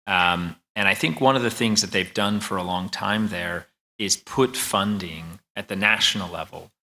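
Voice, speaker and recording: American male panelist, low-pitched and bright-toned, relaxed conversational delivery. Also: moderate pace. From United States. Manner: conversational, with occasional restarts. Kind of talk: panel discussion answer.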